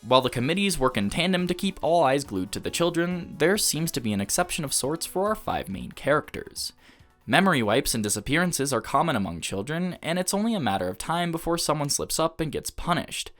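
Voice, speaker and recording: A man's voice: on the high side, sing-song, a little fast, fairly bright tone. A man reading a scripted video essay as an anime reviewer, analytical and articulate in formal wording. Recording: music behind the voice. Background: background music.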